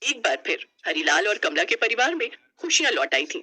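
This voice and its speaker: Very bright, lively woman storyteller. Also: mid-range voice, fairly quick, slightly rough. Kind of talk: scripted story narration.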